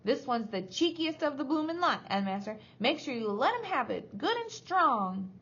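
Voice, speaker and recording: Shrieking, gleefully cruel little hag. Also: female voice, big pitch swings, moderate pace, a bit bright, highs cut off. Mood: spiteful goading. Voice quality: high-pitched, screeching. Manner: frenzied, goading, working-class slang. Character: tiny loathsome shrieking old woman, gleefully cruel.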